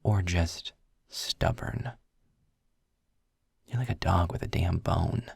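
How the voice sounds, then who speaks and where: Man acting a husky, very low-voiced sarcastic demon. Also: a little mellow, dry recording, exaggerated voice. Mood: exasperated. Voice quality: husky, gravelly, breathy. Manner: sarcastic, wordy, dry, deliberate. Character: snarky, weary, erudite male demon guide trapped in hell. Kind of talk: intimate scripted audio roleplay, spoken to the listener.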